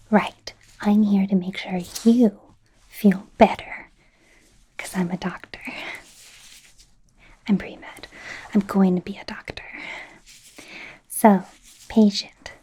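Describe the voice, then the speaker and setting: A woman voicing a nervous, awkward young female werewolf medical student in a halting, breathy, mostly whispered ASMR roleplay. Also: mid-range voice, dark tone. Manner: flustered, halting, apologetic, self-coaching mumbles.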